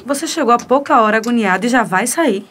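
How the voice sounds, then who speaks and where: An actress playing a calm, concerned lady in a radio soap opera, with a Brazilian accent. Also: fairly high pitch, quick pace, a little hiss or hum. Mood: concerned, reassuring.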